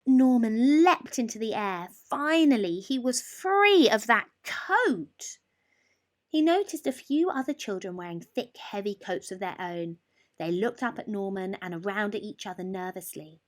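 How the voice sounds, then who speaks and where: Woman, children's storyteller, very lively intonation, slow pace, expressive emphasis, dramatic pauses. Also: medium pitch. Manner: animated, deliberate, with drawn-out emphasis and dramatic pauses. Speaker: storyteller reading a children's picture book. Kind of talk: expressive bedtime story narration.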